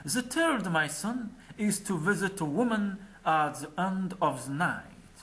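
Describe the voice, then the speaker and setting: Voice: medium pitch, animated, measured pace, a bit bright, pitched above the performer's own voice. A storyteller voicing a wise, dying old merchant father in a folk tale, solemn and instructing. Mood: solemn, urging.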